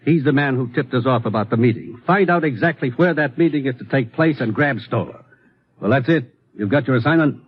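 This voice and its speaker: Guy playing businesslike boss, old radio show, formal briefing, fast and rough-voiced. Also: medium pitch, close to an everyday voice. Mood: grave, urgent. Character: stern, businesslike male government commissioner. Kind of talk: 1950s radio drama acting. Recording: muffled old radio broadcast recording.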